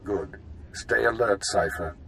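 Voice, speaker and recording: A man's voice: fairly low pitch, takes it slowly, gravelly, fairly bright tone, a little hiss or hum, full character voice, low-quality recording. A man voicing a curt, authoritative intelligence chief in a video game, clipped and businesslike. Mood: stern, commanding. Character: curt, authoritative spymaster man commanding agents.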